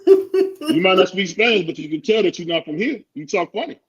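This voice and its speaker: A man's voice: sits high, very animated, a little fast, breathy edge. A man co-hosting a boxing talk podcast, arguing and joking in casual chat. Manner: joking, argumentative, laughs often. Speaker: podcast co-host. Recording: dull lo-fi sound.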